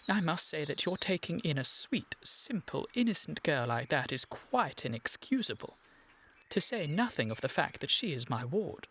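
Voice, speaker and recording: A man with a British accent playing a flustered, earnest young Victorian gentleman in a radio comedy, with formal, exasperated, precise diction. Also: fairly high pitch, very animated, normal speed, a little hiss or hum. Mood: exasperated, indignant. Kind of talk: scripted radio comedy acting.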